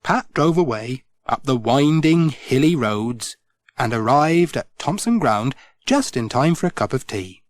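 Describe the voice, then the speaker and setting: A middle-aged man reading a children's storybook aloud, gentle and expressive. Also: medium pitch, a little slow, dry recording. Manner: gentle, expressive, sing-song. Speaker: audiobook narrator.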